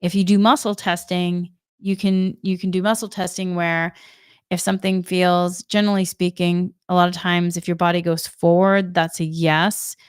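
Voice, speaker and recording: Smooth low-voiced woman with a Boston accent. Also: flat delivery, moderate pace, dry recording, clean studio sound. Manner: gentle, repetitive, reflective. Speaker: spiritual energy guide, Reiki practitioner. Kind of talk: spiritual guidance talk.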